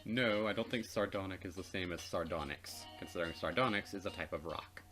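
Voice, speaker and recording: A man's voice: low-pitched, steady tone, brisk, fairly bright tone, faint music behind. A man reading visual novel narration aloud for a let's play, with casual asides.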